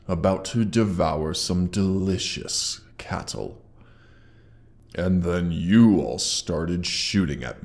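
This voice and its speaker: A man voicing a proud, menacing wounded dragon in a roleplay audio, with haughty deliberate speech, a gravelly tone and a monster effect on the voice. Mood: proud, indignant. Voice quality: very deep, gravelly. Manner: slow, deliberate, haughty menace with archaic wording. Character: menacing, proud, hoard-guarding injured male dragon. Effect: processed monster-voice effect. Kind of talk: scripted roleplay audio monologue.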